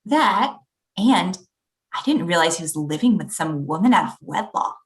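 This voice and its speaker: A woman's voice: fairly low pitch, expressive, moderate pace, natural voice. A woman playing a prim, disapproving churchgoing woman in a comedy script read. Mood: judgmental.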